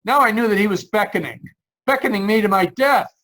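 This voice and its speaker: A man's voice: high-pitched, fairly quick, a bit bright, low-quality recording. An actor playing a haunted, anxious driver narrating his road trip in old-time radio style, tense and earnest. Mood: desperate. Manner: tense, earnest, dramatic storytelling. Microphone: video call. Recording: live video-call performance.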